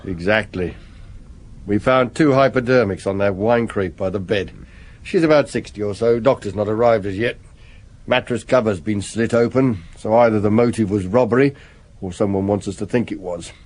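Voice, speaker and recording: An actor playing a fussy, polite local police officer in a radio drama, chatty and wryly disapproving. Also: male voice, on the low side, moderate pace, darkish tone, natural voice.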